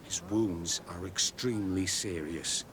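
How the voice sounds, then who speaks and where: An embittered garrison commander in a fantasy game, a man with a fairly low voice. He sounds weary and self-deprecating, a soldier who feels forgotten. Outdoor ambience is clearly audible behind him. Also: a little slow, background noise. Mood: grim, weary. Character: weary, embittered male outpost military captain. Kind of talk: video game cutscene acting.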